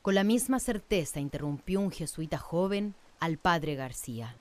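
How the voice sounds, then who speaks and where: A woman's voice: on the low side, animated, normal speed, a little mellow. A woman narrating a scripted radio drama in a literary storytelling manner. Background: music.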